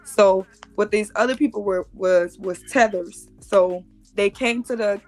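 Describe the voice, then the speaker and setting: A woman's voice: mid-range voice, unhurried, soft background music, low-quality recording. A woman in her thirties, joining a live stream over a video call and explaining things emphatically, often repeating herself. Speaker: guest.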